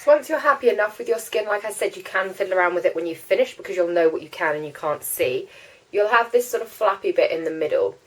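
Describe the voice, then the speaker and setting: A woman's voice: medium pitch, expressive, fast, poor audio. A young woman with a congested, stuffy voice from a cold, chatting casually through a makeup tutorial, with lots of ums and likes. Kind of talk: casual how-to chat.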